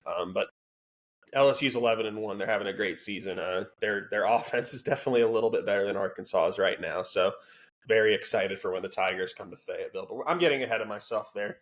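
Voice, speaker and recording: Dry, sarcastic lower-voiced man. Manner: dry, sarcastic, self-described monotone. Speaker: podcast co-host. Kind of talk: casual sports chat.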